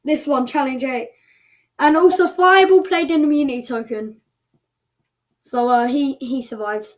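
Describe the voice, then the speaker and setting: A woman's voice: sits high, moderate pace. A woman casually announcing results as the host of an online show, chatty and thinking aloud as she goes.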